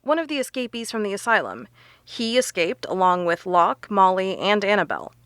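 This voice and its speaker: An actress playing a serious, analytical investigator in an audio drama, explaining earnestly. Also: female voice, mid-range voice, big pitch swings, brisk, a bit bright, smooth, dry recording, studio quality. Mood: serious puzzling. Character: serious, analytical woman investigator piecing clues together.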